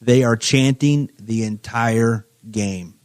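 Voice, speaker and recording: A man from Indiana who is the guest on a casual podcast, telling stories in a measured way with many filler phrases. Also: mid-range voice, a little mellow, no echo, clean studio sound. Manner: measured, storytelling, many "you know" fillers. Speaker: guest, economic development executive.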